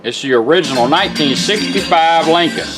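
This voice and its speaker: A man's voice: on the high side, lively intonation, a little fast, rough recording. A man giving a casual, hands-on product demonstration in a folksy, plain-spoken, instructional way. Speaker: radio restorer demonstrating a product. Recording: music playing behind the voice. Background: music from a radio.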